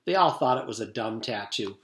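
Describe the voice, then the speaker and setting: A man's voice: on the low side, animated, a little fast, a bit bright. A man reading a children's storybook aloud like a teacher, narrating expressively in a roomy space. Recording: roomy echo.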